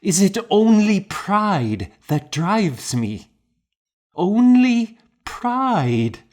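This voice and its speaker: A man's voice: high voice, big pitch swings, drawn-out words, a little mellow, voice pushed up, heavily acted. A man voicing a desperate monk who confesses and pleads emotionally in an audiobook. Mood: anguished pleading. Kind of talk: audiobook character acting.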